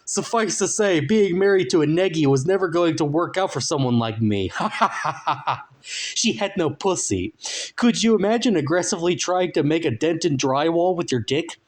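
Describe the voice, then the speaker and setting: A man puts on a strange, excitable safari man for a comic storybook. The voice jumps high and loud, exclamatory and crude. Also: normal speed. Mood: crude, amused.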